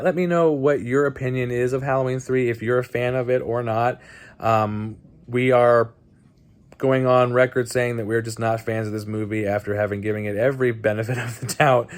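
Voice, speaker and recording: A man with a medium-pitched voice hosts a casual movie review video. He talks bluntly and conversationally, halting as he gathers his opinion. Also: steady tone. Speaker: host of a movie night video channel.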